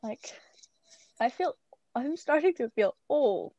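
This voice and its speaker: A woman's voice: high voice, expressive, warm tone, no echo, dull audio. A teenage girl in casual, teasing chat with a friend, giggling often. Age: teens. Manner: giggly, teasing, quick banter. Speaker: co-host.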